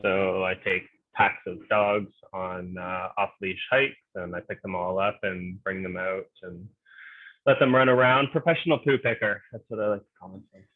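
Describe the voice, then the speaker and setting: A man's voice: low voice, steady tone, moderate pace, dry recording. A man from Ontario, co-hosting a casual game fan livestream, talking in an easygoing way with many fillers. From Ontario, Canada. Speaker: co-host, game fan group. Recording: dry, tinny phone-like audio.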